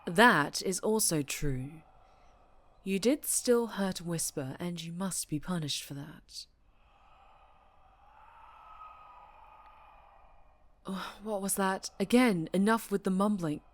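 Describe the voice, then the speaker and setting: A woman's voice: lowish voice, expressive, no room sound. A woman playing an obsessive, domineering superhero captor in a dramatic ASMR roleplay, speaking with volatile, condescending menace. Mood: stern, condescending. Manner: slow, menacing, condescending, breaking into whispers and shouts.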